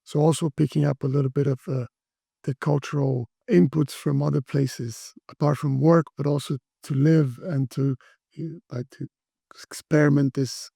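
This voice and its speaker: A middle-aged man from Brazil, speaking as an interview guest, talking in a halting way with many restarts and fillers. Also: a little high, level tone, vocal fry, dark tone, husky, no room sound, studio quality. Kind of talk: interview conversation.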